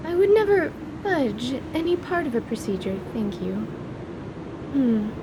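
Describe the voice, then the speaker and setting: A woman performs an earnest female surgeon in a fantasy radio drama. Her voice sits fairly high, and her wording is formal and careful. There is noticeable noise behind her. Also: very animated, a little slow, full character voice. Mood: thoughtful, prim. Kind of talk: radio theatre character acting.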